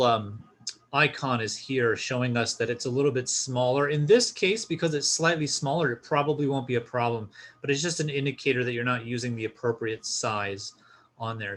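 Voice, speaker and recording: A man's voice: mid-range voice, brisk. A man presenting an informal step-by-step tutorial, explaining a screen share in a casual instructional style.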